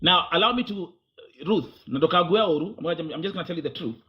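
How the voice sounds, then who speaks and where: A man's voice: on the high side, lively intonation, a little fast, lo-fi sound. A man with a Kenyan accent hosting a confrontational interview, insistent and often repeating phrases as he presses his point. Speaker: host.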